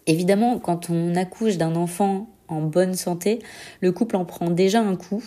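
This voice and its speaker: A young adult woman with a slight head cold, giving an intimate personal testimony in a hesitant, reflective way with frequent pauses. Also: on the low side, mellow. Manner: hesitant, reflective, pausing often. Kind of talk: intimate personal testimony, answering set questions.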